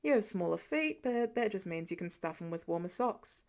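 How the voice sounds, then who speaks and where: Storybook dad type, acted by a woman: gentle but gruff, guarded, informal clipped lines, slightly lowered voice. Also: animated, normal speed. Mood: relieved, concerned. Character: gentle, guarded adult man, fatherly and casually gruff.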